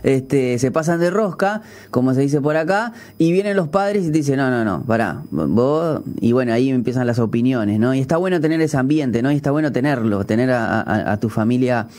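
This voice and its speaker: A man's voice: mid-range voice, animated, normal speed, few pauses, darkish tone. A Uruguayan man hosting a radio interview, chatty and hesitant, with many fillers and restarts. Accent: Uruguayan Spanish. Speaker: radio host. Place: radio studio.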